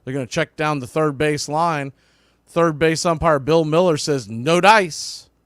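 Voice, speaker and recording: A male baseball announcer with a somewhat high voice calls the game live in short, clipped phrases. He is openly partisan and folksy, leaning on catchphrases. The sound is dry and close. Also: a little slow, a bit bright, no room sound. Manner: folksy, partisan home-team enthusiasm. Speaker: baseball play-by-play announcer for a fan stream. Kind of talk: live sports play-by-play commentary.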